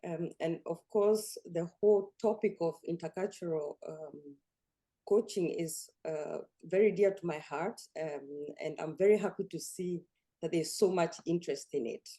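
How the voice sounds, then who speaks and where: A woman's voice: fairly low pitch, measured pace, warm tone. A woman from Kenya hosting an online coaching webinar, speaking with frequent hesitations. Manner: hesitant, many fillers. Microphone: video call.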